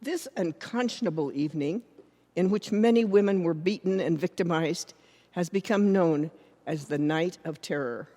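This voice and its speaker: A woman's voice: fairly low pitch, moderate pace, mellow, close to an everyday voice. Proud suffragist orator in an echoing hall, played by a woman. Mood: grave, indignant. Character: proud, defiant female American suffragist orator. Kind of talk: readers theater monologue, public speech. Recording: reverberant hall, long echo.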